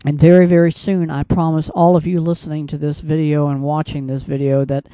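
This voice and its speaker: American man, earnest admonishing monologue, mellow voice. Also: on the high side, little pitch movement, smooth, no echo. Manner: earnest, admonishing, halting with repeated phrases. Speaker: broadcaster. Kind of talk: religious and political commentary monologue.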